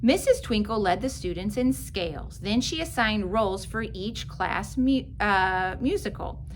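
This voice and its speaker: A woman reading a children's story aloud in an expressive, careful, dramatic storytelling manner. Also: medium pitch, a little slow, slight background noise. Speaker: storybook reader.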